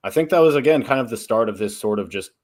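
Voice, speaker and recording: A man's voice: medium pitch, expressive, fast talker. A man in his thirties, a guest telling his story in a casual interview, with lots of "uh" and restarts. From Texas and Oklahoma. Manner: many fillers and restarts, laughs easily. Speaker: guest, nonprofit founder and strength coach.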